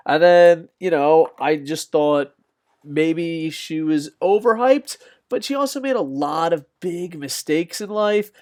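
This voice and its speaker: A young man with a slightly squeaky voice, rambling through off-the-cuff wrestling news commentary with lots of fillers and restarts. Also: darkish tone. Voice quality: a bit squeaky.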